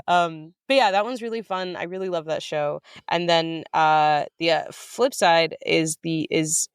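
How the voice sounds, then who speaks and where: An American woman with a smooth, clean voice, recorded close and dry, chats informally as an interview guest. She is enthusiastic and wanders through asides as she talks about her work. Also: low voice, steady tone, a little slow, no echo. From United States. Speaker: writer-director guest. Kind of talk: casual interview chat.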